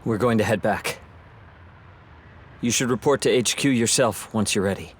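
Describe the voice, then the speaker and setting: An actor playing a composed, analytical young man who talks in a calm, reasoning way in a game cutscene. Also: fairly low pitch, normal speed, a little hiss or hum, natural voice.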